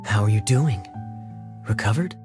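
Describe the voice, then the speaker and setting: A man's voice: lowish voice, expressive, measured pace, music in the background. A man playing a suave, teasing young man in a video game, wry and coolly amused. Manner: smooth-talking, wry.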